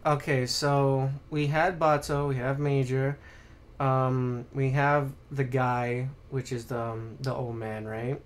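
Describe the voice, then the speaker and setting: A man's voice: medium pitch, slow pace. Blunt male podcast host, casual and profane. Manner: blunt, profane, animated when exasperated. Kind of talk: casual opinionated podcast chat.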